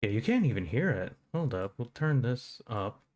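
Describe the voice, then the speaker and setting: A man's voice: mid-range voice, very animated, normal speed. A man streaming live, casually testing his microphone and muttering to himself as he checks the sound. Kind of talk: casual mic check on a livestream. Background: soft instrumental background music.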